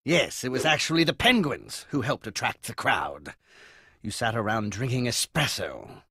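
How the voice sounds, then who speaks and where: A man voicing a long-suffering animal adviser who narrates a video game story with dry, theatrical exasperation and a husky voice. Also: mid-range voice, sing-song, normal speed, bright, forceful tone, no echo, full character voice. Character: prim, long-suffering male aye-aye royal adviser narrating.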